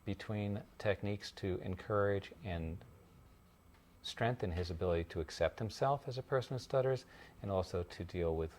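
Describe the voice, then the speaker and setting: A man, a speech therapist, narrating a documentary in a calm, measured way. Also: on the low side.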